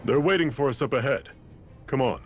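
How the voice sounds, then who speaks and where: An actor playing a calm, measured male rebel leader in a video game. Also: medium pitch, lightly acted. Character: composed male rebel leader, wry and resolute. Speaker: resistance leader. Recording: game audio with faint music.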